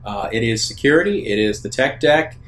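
Man narrating a game over rumbly noise. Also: lively intonation, brisk, fairly bright tone. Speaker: game master. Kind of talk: improvised narration of a tabletop game.

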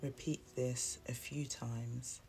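A meditation guide speaks extremely slowly in a soothing, hushed way, with a creaky edge to the voice. The delivery is even and deliberate, leaving long pauses, and instrumental music plays behind it. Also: mid-range voice, rough recording. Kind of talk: guided meditation, scripted narration. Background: soft instrumental music.

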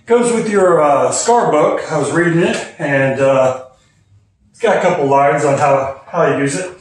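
A man's voice: a little high, moderate pace. A man in his thirties giving a casual, offhand hands-on product review and tutorial while he works. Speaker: host of a DIY car audio video. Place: home garage, at the car and workbench. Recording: roomy echo, faint noise and clicks.

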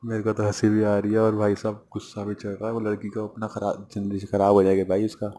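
A man's voice: lowish voice, monotone, fast talker, dark tone. A man, chatting casually and arguing as a prank-call vlogger. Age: 20s. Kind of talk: casual chat and phone argument. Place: small furnished room at home, indoors. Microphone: small clip microphone held near the mouth.

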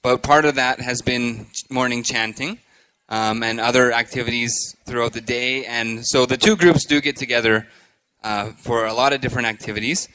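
A man in his thirties hosting a live interview on a handheld microphone, speaking haltingly with frequent pauses. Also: medium pitch, fairly even intonation, bright, forceful tone, clean tone, poor audio. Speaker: host. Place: indoor stage with curtain.

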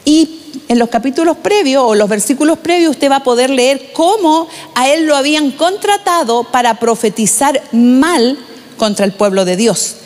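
Middle-aged woman preacher with a handheld mic, high-pitched, unhurried when reading scripture, long hall echo. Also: animated, a little mellow. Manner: measured, slows down to read scripture aloud. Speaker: pastor. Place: large church stage with pink backdrop. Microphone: handheld microphone.

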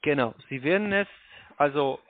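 A man with a foreign accent in non-native German making a polite, halting telephone sales pitch over a phone line. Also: expressive, dry recording. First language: not German. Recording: tinny, narrow phone line.

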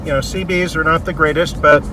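A man's voice: fairly high pitch, steady tone, fairly quick, sharp tone, faint music behind. An American man, around his fifties, chatting casually and thinking aloud as he explains his gear hands-on. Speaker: vlogger demonstrating Jeep radio gear. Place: outdoors by a vehicle and inside a truck cab.

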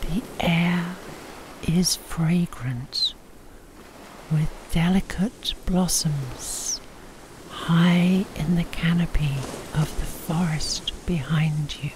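A woman narrating a guided sleep meditation in a soft, breathy near-whisper, leaving long pauses between short phrases. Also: on the low side, fairly even intonation, noisy background.

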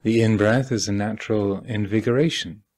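A man with a husky voice guiding a meditation as a teacher, speaking calmly and deliberately with long pauses. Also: lowish voice. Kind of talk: guided meditation instruction. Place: meditation hall at a retreat.

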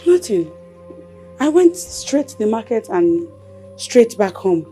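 A woman's voice: a little high, very animated, takes it slowly, mellow, faint music behind. An actress with a Nigerian accent playing a defiant, distraught woman in a family melodrama, repeating her words for emphasis. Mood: subdued, evasive. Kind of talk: screen drama acting.

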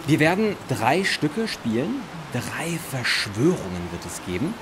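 Lively man presenting in casual chat. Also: mid-range voice, unhurried, background noise. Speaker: presenter, writer and actor. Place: living room, indoors.

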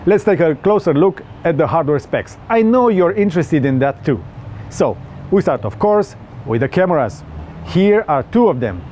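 Romanian guy doing a phone review, kinda high voice but mellow, a bit of street noise behind. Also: lively intonation, normal speed. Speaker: tech reviewer.

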